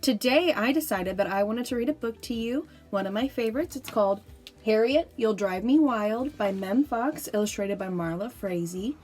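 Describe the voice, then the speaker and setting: A woman's voice: on the high side, lively intonation, normal speed, darkish tone. A woman reading a picture book aloud for children, narrating and speaking to her young listeners directly. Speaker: storybook reader.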